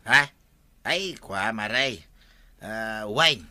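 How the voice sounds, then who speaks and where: A man's voice: fairly low pitch, lively intonation, very slow, sharp tone, full character voice. An actor with an Ilocano accent playing a casual, talkative male neighbour in a comedy drama.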